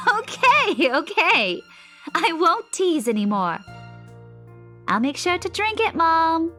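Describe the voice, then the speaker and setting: Visual novel role: teasing young woman, affectionate, bright high voice, animated delivery. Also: slow, soft background music, full character voice. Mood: playful teasing.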